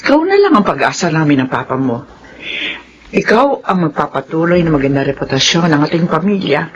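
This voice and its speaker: A woman's voice: deep voice, sing-song, brisk, dull audio, slight background noise. An actress playing a fretful mother of two grown sons in a Filipino radio drama, with a Filipino accent. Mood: worried, pleading.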